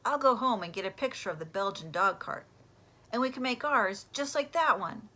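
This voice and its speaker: Lady doing excited storybook kid, a boy, high bright voice, exclaims everything. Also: moderate pace. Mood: enthusiastic. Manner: exclaiming, enthusiastic. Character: eager, excitable young boy in a children's storybook.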